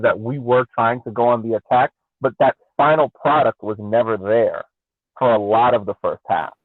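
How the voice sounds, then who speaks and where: A man on a sports podcast panel giving casual analysis, haltingly searching for his words, over a thin connection. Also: medium pitch, dry recording. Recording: tinny phone-like connection, dry.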